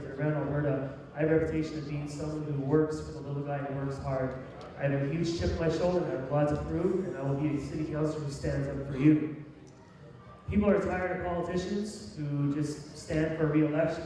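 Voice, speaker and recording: Male candidate, folksy and emphatic, warm soft tone, heard from afar in a reverberant hall. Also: on the high side, steady tone, fast. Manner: folksy, emphatic, plain-spoken. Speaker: city council candidate. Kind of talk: campaign closing speech at a public debate. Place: large hall, public debate. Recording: echoey hall, distant mic, faint noise.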